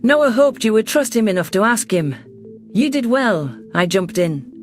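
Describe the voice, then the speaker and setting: A man narrating a science fiction story in the first person with steady storytelling delivery. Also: sits high, moderate pace, faint music behind.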